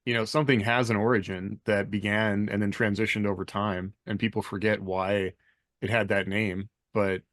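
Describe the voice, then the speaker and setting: A man on a podcast panel explaining things casually, in a halting way with frequent rephrasing. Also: on the low side, little pitch movement, a bit bright, no echo, studio quality. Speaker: podcast panelist, military veteran.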